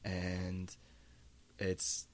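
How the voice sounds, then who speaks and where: A man's voice: deep voice, monotone, drawn-out words. An American man in a casual podcast discussion, rambling and emphatic, peppering his opinions with "you know" and "like". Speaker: podcast speaker.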